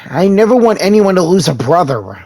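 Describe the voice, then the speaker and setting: A man's voice: high voice, sing-song, normal speed, a little mellow. A man playing through a video game, reading the dialogue choices aloud in a casual, offhand, lightly playful way. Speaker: gaming content creator. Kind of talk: reading dialogue options aloud during a playthrough.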